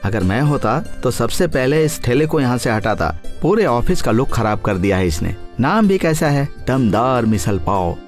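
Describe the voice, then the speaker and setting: A man voicing a snobbish wealthy business client in an animated story, with a haughty, critical manner. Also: medium pitch, normal speed, few pauses, faint music behind, lightly acted. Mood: disdainful. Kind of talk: animated moral story dialogue.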